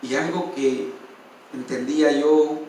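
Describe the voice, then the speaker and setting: A man's voice: a little high, steady tone, slow pace, dark tone, slight background noise. A male pastor preaching a sermon and sharing a personal testimony with feeling, often calling out to his listeners as brother. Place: church. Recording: distant, echoey church hall.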